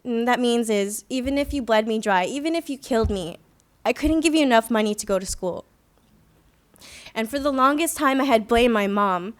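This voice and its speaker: Young woman speaker, smooth, higher voice; earnest first-person testimony with touches of wry humor. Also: normal speed. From Philippines. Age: young adult. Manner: earnest, reflective storytelling with light self-deprecating humor. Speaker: student activist speaker. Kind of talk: personal testimony speech at a conference. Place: conference hall.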